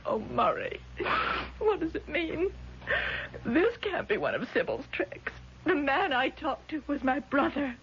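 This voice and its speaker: A woman's voice: high voice, big pitch swings, unhurried, a bit bright, full character voice. An actress in a vintage radio drama playing a distraught, frightened woman who pleads breathlessly to be believed. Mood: insistent. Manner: pleading, breathless, emotional repetition. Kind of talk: 1970s radio drama acting. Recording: dull, noisy vintage radio recording.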